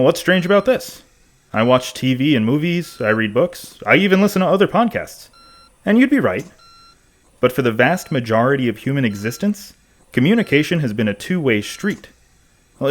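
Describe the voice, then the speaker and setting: A man's voice: mid-range voice, close to an everyday voice. An actor playing a pensive, rambling male podcast host in a conversational scripted audio monologue.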